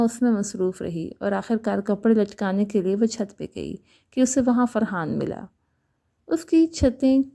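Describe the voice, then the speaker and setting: A woman's voice: fairly high pitch, dark tone. A woman reading a scripted romantic story aloud as a storyteller.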